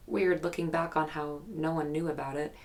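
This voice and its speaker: A woman's voice: deep voice, steady tone. An actress playing a guarded young woman in an audio drama, recounting a haunted childhood memory in a hesitant, reflective, documentary-interview way. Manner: hesitant, reflective storytelling with pauses.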